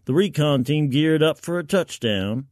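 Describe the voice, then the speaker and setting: A man's voice: on the high side, expressive, measured pace, darkish tone, no echo, clean studio sound. A man narrating first-person science fiction in the colloquial, gritty, wry voice of a soldier.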